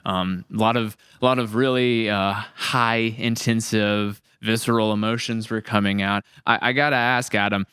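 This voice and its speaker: A man hosting a podcast in casual chat, with a clear, smooth voice and a teasing manner. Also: on the low side, steady tone, moderate pace, few pauses, bright, forceful tone. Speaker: podcast host.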